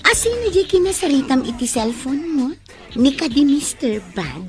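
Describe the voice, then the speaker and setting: A woman's voice: sits high, very animated, moderate pace, over music. A woman playing a curious, chatty, supportive friend in an Ilocano radio drama, with an Ilocano accent.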